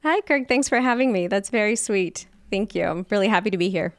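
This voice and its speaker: A woman in her forties talking as an interview guest. Her voice is smooth and clear, recorded close and dry with no room sound. She chats openly and easily. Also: medium pitch, animated, brisk, dry recording. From California, USA. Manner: candid, chatty storyteller. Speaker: guest, fermentation specialist. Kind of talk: casual interview chat.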